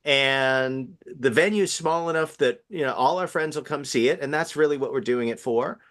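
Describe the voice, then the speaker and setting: A man in a casual panel discussion, speaking reflectively and haltingly, restarting phrases as he thinks back. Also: mid-range voice, a bit bright. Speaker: theatre panel participant.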